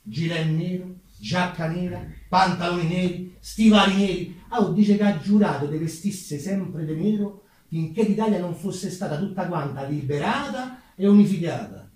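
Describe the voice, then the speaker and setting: A man's voice: sits high, normal speed, few pauses, a little mellow, natural voice. A man with a Roman accent playing a chatty, self-deprecating working-class umbrella mender of the 1840s in a theatrical monologue. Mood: gossipy. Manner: folksy, conversational, rhetorical asides to the audience. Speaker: stage actor. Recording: very echoey room, distant microphone.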